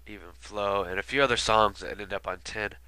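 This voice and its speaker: A man's voice: on the low side, steady tone, brisk, pushed and bright, clear, resonant tone, no echo. A man with a stuffy nose from being sick, commenting casually and rambling with lots of fillers. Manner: rambling, many fillers and asides. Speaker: video commentator. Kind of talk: casual album retrospective commentary.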